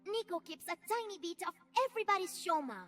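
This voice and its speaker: A woman's voice: very high voice, expressive, fast, fairly bright tone, soft background music. A woman voicing a playful, childlike and tender shapeshifting chameleon creature in a video game, speaking in simple broken sentences about herself in the third person. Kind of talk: video game character voicelines.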